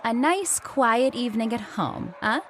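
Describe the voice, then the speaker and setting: Casting: actress for sweet girlish fiancée, vintage radio drama, high animated voice, playful with a nervous streak. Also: a little slow, slight background noise. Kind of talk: 1930s radio drama acting.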